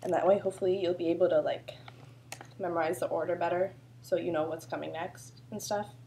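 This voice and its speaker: A woman's voice: lowish voice, steady tone, a little fast, creaky, warm tone, a little hiss or hum. A woman choreographer with a sore throat, explaining a dance routine in a chatty, loose way full of fillers. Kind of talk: casual dance instruction video.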